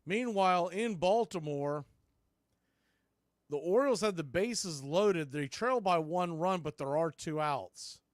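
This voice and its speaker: A man calling a baseball game live as a play-by-play announcer, mixing clipped pitch calls with folksy, casual asides. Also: lively intonation, a little slow, dry recording, clean studio sound. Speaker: baseball play-by-play announcer for a fan radio stream.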